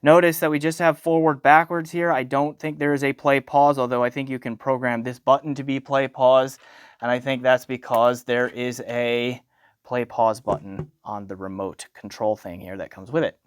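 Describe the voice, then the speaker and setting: A man's voice: medium pitch, level tone, normal speed, clear, resonant tone, no echo, clean studio sound. A man giving a casual, conversational product review as the host of an audio gear channel. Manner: conversational, informal.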